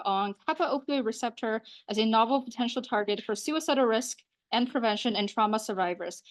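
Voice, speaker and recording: Woman hosting, quick informal intro of a speaker, kinda high voice. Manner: brief, informal, with fillers. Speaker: lecture series host.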